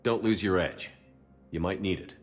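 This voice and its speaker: A steadfast, commanding soldier of a space opera game as a man acts him. His voice sits fairly low. It rings in a large reverberant space. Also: normal speed, natural voice. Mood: calm, sympathetic. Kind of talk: video game dramatic dialogue. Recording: hall reverb, music behind.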